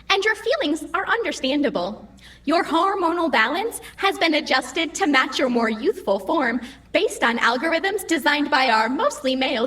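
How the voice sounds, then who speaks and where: A high, lively female computer AI played by an actress for an audio drama. She is cheeky and literal-minded. Her phrasing is formal and precise, while her pitch leaps about expressively. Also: slight background noise, full character voice. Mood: prim.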